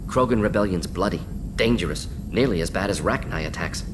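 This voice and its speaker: An actor playing a brisk, eager alien scientist in a video game, talking in clipped, telegraphic, analytical bursts. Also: male voice, low-pitched, animated, noisy background. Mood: matter-of-fact. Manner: clipped telegraphic sentences, rapid-fire, analytical. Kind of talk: video game character dialogue. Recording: game audio over humming ambience.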